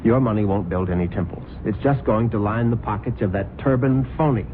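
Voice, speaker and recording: An actor playing a smooth, scheming husband in an old radio drama who plots his wealthy wife's murder. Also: lowish voice, fairly quick, slight background noise, close to an everyday voice. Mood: agitated. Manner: smooth, then flustered and scheming. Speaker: husband, narrator of the story. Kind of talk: 1970s radio drama acting. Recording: tinny old radio broadcast with music.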